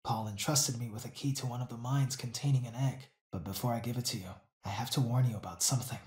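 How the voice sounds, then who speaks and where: An actor playing a solemn, reverent islander in a video game, speaking formally and ominously. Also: male voice, medium pitch, steady tone, fast talker, darkish tone, natural voice. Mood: reverent, wary. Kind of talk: video game character acting. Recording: roomy echo.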